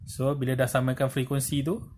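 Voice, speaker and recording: A noisy, clicky amateur recording of a man with a Malaysian accent presenting an informal tutorial. His pitch is medium, and he explains haltingly, one step at a time, often checking that the listener follows. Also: monotone, a little mellow.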